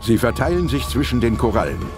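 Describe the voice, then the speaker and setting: A man's voice: medium pitch, sing-song, fairly quick, over music. A man narrating a scripted audio drama as the storyteller.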